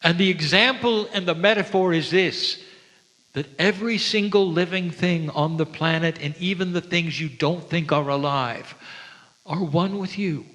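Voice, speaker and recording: A man giving a spiritual sermon to an audience, speaking gently and reverently with deliberate, dramatic pauses. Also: sits high. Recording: long hall reverb.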